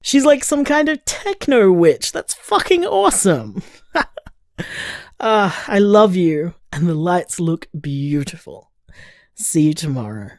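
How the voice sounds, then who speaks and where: A woman's voice: sits low, takes it slowly, long pauses, darkish tone, dry recording, studio quality. A woman playing an affectionate, easygoing partner in an intimate audio drama, talking in a casual, fond and wry way. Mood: amused, admiring. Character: affectionate, easygoing partner leaving a late-night message.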